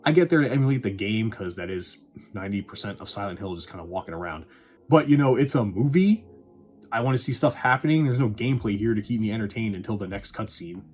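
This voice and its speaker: A man with a husky voice giving casual, scripted movie review commentary in a sarcastic, irreverent, sweary manner. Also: fairly low pitch, expressive, fast talker. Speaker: video reviewer.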